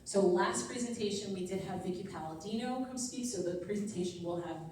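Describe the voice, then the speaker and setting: A woman's voice: medium pitch, a little fast, darkish tone, slight background noise. A young woman from Long Island giving a speech at a political training event, earnest and upbeat, with frequent "um" and "like". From Long Island, New York. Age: young adult. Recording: echoey room, voice picked up from far off.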